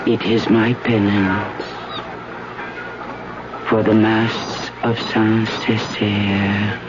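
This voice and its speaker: An actress playing an anguished, worn French woman doing penance, solemn and halting, in a 1970s radio drama. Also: a bass voice, little pitch movement, heavily acted. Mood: weary, resolute. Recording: dull 1970s radio recording with music.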